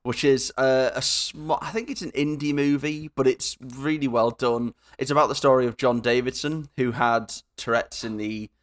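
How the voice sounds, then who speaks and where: A man's voice: medium pitch, fairly even intonation, normal speed, hardly any pauses. A young man co-hosting a casual podcast, rambling and laughing as he drifts into tangents. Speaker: podcast co-host.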